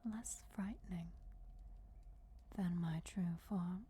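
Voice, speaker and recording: A woman voicing an ancient, regal and patient vampire deity in a soft, breathy whisper, formal and deliberate, for a fantasy ASMR roleplay. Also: on the low side, exaggerated voice. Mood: solemn, patient. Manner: slow, deliberate, formal, measured. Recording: clear background noise, crypt ambience. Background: crypt ambience.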